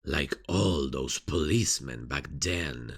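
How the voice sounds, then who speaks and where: A man playing a sadistic, playful serial killer in an ASMR roleplay, mocking and teasing in a husky, gravelly voice with drawn-out delivery. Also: rumbling low, exaggerated voice. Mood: mocking amusement.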